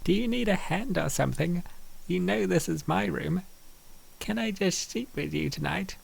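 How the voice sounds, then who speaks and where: Man putting on a very creaky high young woman. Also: lively intonation, normal speed, exaggerated voice, poor audio. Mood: tentative.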